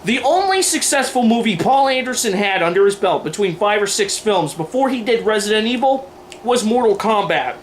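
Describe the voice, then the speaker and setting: A man's voice: sits high, lively intonation, moderate pace. A man hosting a video, delivering scripted opinion commentary in a sarcastic, emphatic way. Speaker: video host. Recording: noisy room recording.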